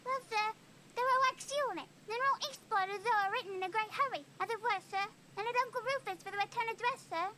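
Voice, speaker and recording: A woman's voice: very high-pitched, fast talker, background noise. A woman playing a small, earnest male animal letter carrier in an audio drama, rambling on in a flustered, deferential way. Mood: flustered, eager. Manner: flustered, rambling, repeatedly says sir. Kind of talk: audio drama character acting.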